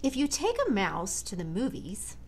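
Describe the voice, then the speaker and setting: An American woman in middle age reads a picture book to children. Her medium-pitched voice moves up and down in a lively way as she slips chatty asides in between the lines. She is recorded in a living room with noticeable background noise. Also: normal speed. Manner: expressive, addressing young listeners directly. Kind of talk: read-aloud of a children's storybook with chatty asides. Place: large living room, indoors.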